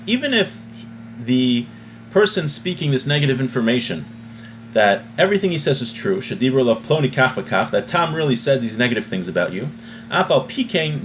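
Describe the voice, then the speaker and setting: A man giving an informal religious lesson in a didactic, conversational way, reading from a text and then explaining it. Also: on the high side. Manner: didactic, conversational, checks in with "right?". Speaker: religious teacher. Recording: noisy background ambience.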